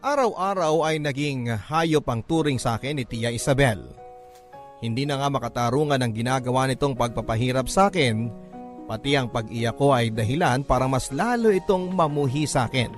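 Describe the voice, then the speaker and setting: Male narrator, Filipino accent, mid-pitched voice, emotive radio-drama storytelling with measured delivery, music underneath. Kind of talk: dramatic scripted first-person narration in classic radio drama manner. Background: background music.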